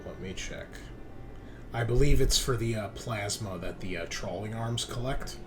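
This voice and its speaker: A man's voice: on the low side, normal speed, music in the background. A man acting as game master, casually narrating and explaining details at a tabletop roleplaying session.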